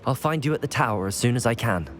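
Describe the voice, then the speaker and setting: A man plays a young student wizard in a fantasy video game. His mid-pitched voice is courteous and capable, with short, plain replies in an everyday, unforced tone. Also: expressive, fast talker, soft background music, lightly acted. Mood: polite, alert. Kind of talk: scripted fantasy game acting.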